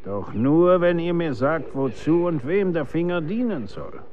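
A middle-aged actor playing a stern, worldly executioner and father in a historical radio play, measured and admonishing in archaic speech. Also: on the high side, expressive. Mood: probing, shrewd. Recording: dull sound, faint noise.

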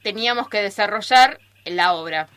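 Bright-voiced Argentine woman, dry close sound. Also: medium pitch, normal speed, no echo. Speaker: amateur radio theatre cast member. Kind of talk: casual group interview chat. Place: radio studio.

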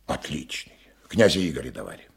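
Chatty, husky provincial gentleman. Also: rumbling low, sing-song, brisk, heavily acted. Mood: enthusiastic. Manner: chatty, exclamatory, slightly pompous. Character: talkative, cultured provincial male hotel guest, eagerly agreeable. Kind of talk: Russian radio play acting.

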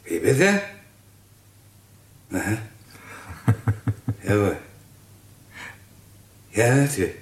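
A rather low-pitched police inspector in a radio crime play. He is courteous and formal, weighing his phrases deliberately as he probes with polite scepticism. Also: very animated, exaggerated voice. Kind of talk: German radio crime drama acting.

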